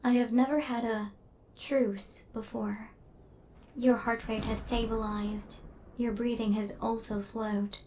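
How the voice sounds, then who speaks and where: A woman plays a female killer drone in an ASMR roleplay, with a slightly high, very even voice. Her phrasing is stilted, literal and clinical. She is stern yet softening, and there is faint noise behind her. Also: takes it slowly, rough recording. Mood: curious, deadpan.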